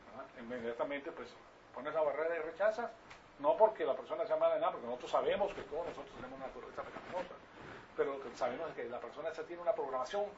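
An older man from Venezuela with a Venezuelan Spanish accent, preaching an informal Bible lesson in a didactic, repetitive way with rhetorical questions to his listeners. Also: on the high side. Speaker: preacher teaching a congregation. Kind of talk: informal Bible-teaching sermon. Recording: distant mic, muffled, clearly noisy.

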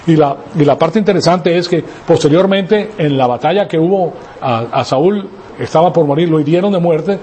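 A man's voice: fairly high pitch, moderate pace, darkish tone, slight background noise. A man raised in Venezuela preaching a sermon, emphatic, asking rhetorical questions and tagging lines with "ok?". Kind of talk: sermon teaching.

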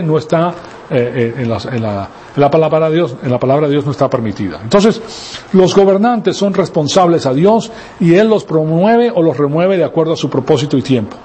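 A man's voice: fairly high pitch, dark tone, a little hiss or hum. A man raised in Venezuela preaching a sermon, emphatic, asking rhetorical questions and tagging lines with "ok?". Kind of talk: sermon teaching.

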